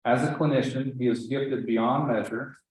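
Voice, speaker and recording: A man introducing an honoree at an awards dinner, reading through a long list of posts and honors with the odd off-script aside. His voice sits in the middle range. It booms back from a large banquet hall over the speakers, with a very heavy echo. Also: steady tone, moderate pace, a little mellow. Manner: reads a long citation, with asides and restarts. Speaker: associate dean presenting an award. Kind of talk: ceremony introduction speech.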